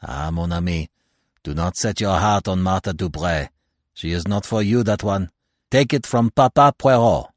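A man voicing an older, self-assured Belgian male detective with a French-speaking Belgian accent and a gently ironic, emphatic, avuncular manner, in an audiobook. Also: lowish voice, big pitch swings, moderate pace, few pauses, no room sound, clean studio sound. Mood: earnest warning. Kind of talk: audiobook character voice.

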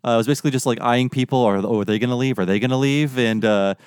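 A man chats as a podcast co-host at a quick clip. His voice is clean and resonant, and his manner is casual and wryly joking. It is recorded dry, without room sound. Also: mid-range voice, smooth, dry recording. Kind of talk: casual chat recounting a fan outing.

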